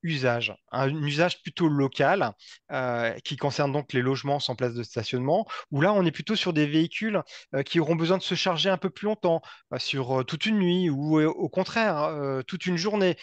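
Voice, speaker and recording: Man presenting haltingly, dry close sound. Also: on the high side, no room sound, dull audio. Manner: halting, often repeats and rephrases words. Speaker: presenter from a local energy body. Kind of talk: semi-improvised technical presentation.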